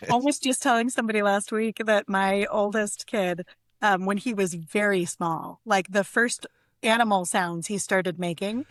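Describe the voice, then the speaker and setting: A woman with a mid-pitched voice talks casually as a podcast guest. The recording is dry and close, with very little room sound. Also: moderate pace, dry recording. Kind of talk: casual chat. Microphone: close microphone on a video call.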